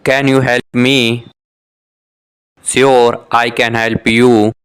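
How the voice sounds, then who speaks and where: A man's voice: mid-range voice, flat delivery, a bit bright, clear, resonant tone. A man reading short beginner dialogues for an English lesson, saying each exchange twice with careful articulation. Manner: measured, carefully articulated delivery. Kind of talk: scripted beginner dialogue for shadowing practice.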